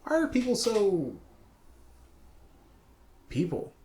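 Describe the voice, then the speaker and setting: Young male co-host, lively and laughing, casual banter. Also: high-pitched, slight background noise. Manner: animated, laughs often, quick exclamations. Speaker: podcast co-host. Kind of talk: casual chat.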